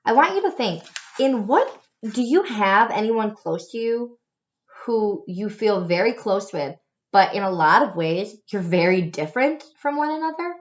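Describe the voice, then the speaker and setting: A woman teacher talks to her students in a gentle, patient, encouraging way after reading a chapter book aloud. She often restarts her sentences and uses fillers. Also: mid-range voice, animated, normal speed, dull audio. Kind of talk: recorded lesson for students.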